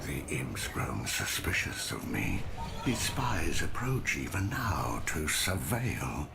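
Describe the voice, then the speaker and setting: A man's voice: a bass voice, sing-song, slow, vocal fry, exaggerated voice. An actor playing a volatile, bitter, self-loathing nobleman in a video game, theatrical and swinging between mutters and shrieks. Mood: suspicious. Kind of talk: cinematic game dialogue. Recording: very loud music behind the voice.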